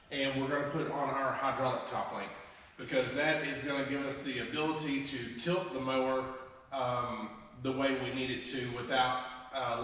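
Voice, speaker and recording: A man's voice: medium pitch, sharp tone. A man talks his way through a farm chore outdoors, rambling with plenty of fillers while getting over a head cold. His voice comes from well away from the microphone, wrapped in heavy echo and a long reverberant tail. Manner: rambling, many filler words. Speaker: farm vlogger. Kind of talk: casual how-to vlog. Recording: distant, echoey outdoor camera audio.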